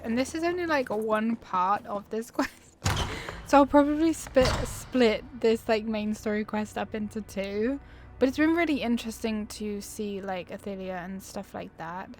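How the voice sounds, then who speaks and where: A woman's voice: fairly high pitch, expressive, measured pace, a little mellow. A woman doing casual let's-play commentary on a video game, informal and thinking aloud as she plays. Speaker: gaming YouTuber. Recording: clear background noise.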